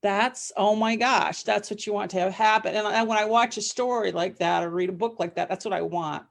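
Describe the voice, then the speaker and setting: A middle-aged woman chatting casually as an interview guest, telling things in an animated way and often circling back over her words. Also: medium pitch, a little fast, gravelly. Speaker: podcast guest, novelist and former psychologist.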